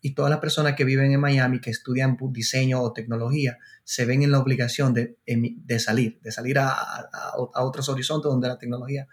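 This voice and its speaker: A man's voice: mid-range voice, level tone, fairly quick, few pauses. Male podcast host, conversational, rephrasing often. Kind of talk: conversational interview hosting.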